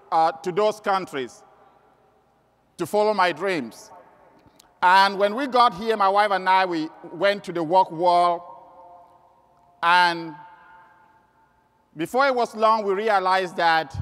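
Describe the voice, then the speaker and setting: A man with a Cameroonian accent and a high-pitched voice delivers a motivational training speech. He is emphatic and repeats key words for stress. The sound carries the long reverb of a large hall. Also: fairly bright tone. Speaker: sales trainer and motivational speaker. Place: large indoor event hall.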